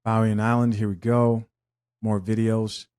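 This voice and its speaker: A man's voice: fairly low pitch, level tone, measured pace, darkish tone, clear, resonant tone, dry recording, studio quality. A man from Zambia hosting a reaction video, with mild, easygoing commentary on what he watches. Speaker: reaction host.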